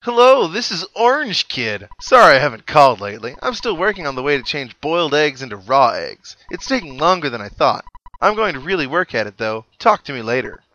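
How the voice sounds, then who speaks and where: A man's voice: a little high, very animated, brisk, pushed and bright, dull audio, slight background noise, voice pushed up. A man voicing an earnest, apologetic boy inventor from a video game, speaking eagerly and emphatically.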